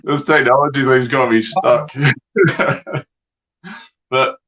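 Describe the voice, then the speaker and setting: A man's voice: mid-range voice, steady tone, slow pace, fairly bright tone. A man with a Scottish accent chatting casually and easily as a guest. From Scotland. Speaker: guest, outdoors video creator. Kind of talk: casual chat. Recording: dull, muffled online call audio.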